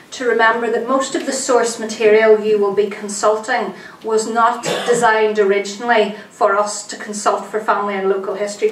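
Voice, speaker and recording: A woman's voice: mid-range voice, a little hiss or hum. A woman giving a lecture to an audience, sounding nasal from a cold, chatty and friendly with plenty of ums. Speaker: archivist giving a genealogy lecture. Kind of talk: public lecture. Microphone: distant room mic. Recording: echoey lecture room recorded from a distance.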